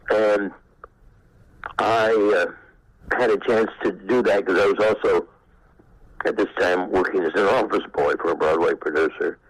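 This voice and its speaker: An older man from Texas being interviewed, reminiscing in a halting, anecdotal way. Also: on the low side, no room sound, poor audio. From Texas, United States. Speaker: interviewee, former actor. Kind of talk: unscripted reminiscence.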